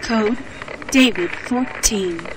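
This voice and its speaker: A woman playing a military code operator in an audio drama, measured and procedural. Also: mid-range voice, natural voice. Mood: businesslike. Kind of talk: amateur audio drama acting. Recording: very noisy control-room scene.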